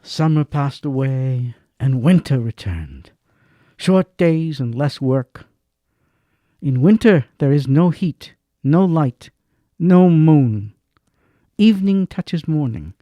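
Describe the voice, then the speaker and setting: A man narrating a radio drama in a dramatic, expressive way, swinging between hushed lines and stressed ones. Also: a little high, no echo. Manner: slow and expressive, swings between hush and emphasis.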